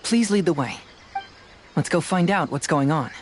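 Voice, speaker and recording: Man voicing a calm young detective. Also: fairly high pitch, slight background noise, lightly acted. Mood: analytical. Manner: measured, deliberate deductive speech. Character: sharp young male detective, calm reasoner. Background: game soundtrack music.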